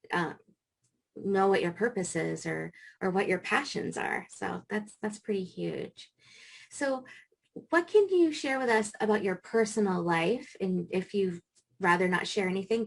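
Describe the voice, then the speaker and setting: A woman hosting an interview, asking gentle, encouraging questions. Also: fairly low pitch, gravelly. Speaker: host, trauma therapist.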